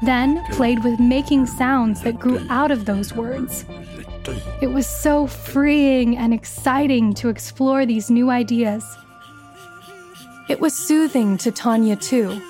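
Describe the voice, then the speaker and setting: A woman's voice: fairly high pitch, slow, darkish tone, soft background music. A woman reading a scripted biographical story for children as its narrator.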